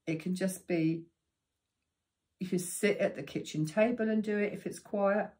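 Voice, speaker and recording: Croaky older woman teaching meditation. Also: medium pitch, warm tone. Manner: chatty and practical, unhurried with drawn-out words. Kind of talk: giving tips to camera. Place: living room indoors. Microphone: camera in front of her.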